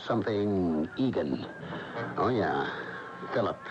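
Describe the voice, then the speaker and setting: Low-voiced vengeful husband, muffled radio. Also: male voice, lively intonation, takes it slowly. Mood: brooding, cold. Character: jealous, coldly vengeful husband narrating his murder plot. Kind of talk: 1980 radio mystery acting, first-person narration. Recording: muffled AM radio broadcast, music behind.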